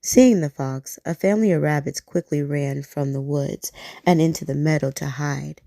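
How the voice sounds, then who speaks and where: A woman reading a bedtime story aloud in a soft, gentle, soothing way, close to the mic. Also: deep voice, normal speed, vocal fry, dry recording.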